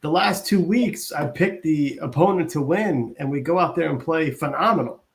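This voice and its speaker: A man's voice: on the high side, normal speed. A man co-hosting a casual football podcast, laid-back and hesitant, thinking aloud. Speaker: podcast co-host. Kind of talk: casual sports podcast chat.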